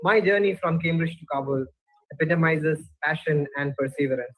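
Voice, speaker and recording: A man's voice: a little high, fairly quick, a bit bright, faint music behind. A middle-aged man, giving a prepared testimonial as an education professional, on a narrow phone-like recording. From India. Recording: tinny phone-like sound.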